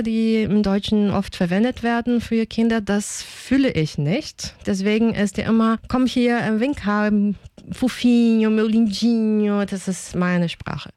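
A middle-aged woman with a Portuguese accent, her voice warm and mellow, answers interview questions in an earnest, explaining way. She speaks with small slips in her grammar, and the recording is close and dry. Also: medium pitch, measured pace, no echo. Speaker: linguist, interview guest.

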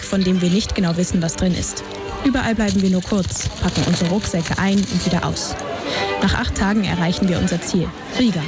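A young woman reporter reading a scripted first-person travel report. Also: lowish voice. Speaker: radio reporter. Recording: loud traffic and ambient noise underneath.

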